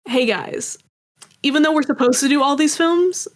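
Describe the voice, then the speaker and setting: A woman's voice: sits high, normal speed. Young woman, wry casual interview guest. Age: young adult. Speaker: guest, indie animation and audio drama creator.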